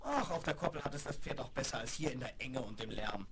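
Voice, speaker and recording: A friendly young circus clown from an old children's radio play, cheerful and courteous. The voice moves up and down with lively swings over light record crackle. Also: medium pitch, fast talker.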